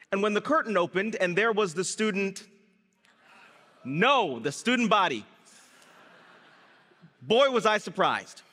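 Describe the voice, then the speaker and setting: Energetic young man speaking in a hall. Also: high voice. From Brazil, raised in Guyana. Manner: energetic storytelling, comic timing, addresses the crowd. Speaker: startup founder giving a gala speech. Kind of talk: live stage speech with audience call and response. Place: large event hall before an audience. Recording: reverberant hall through a PA.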